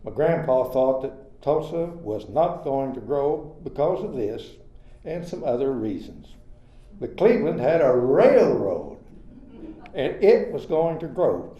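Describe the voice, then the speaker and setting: An older man from Oklahoma reading a prepared personal history as a storyteller at an event, in a measured, plain way. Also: medium pitch, big pitch swings. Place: retirement community event room. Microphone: handheld microphone. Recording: echoey, slightly dull room recording.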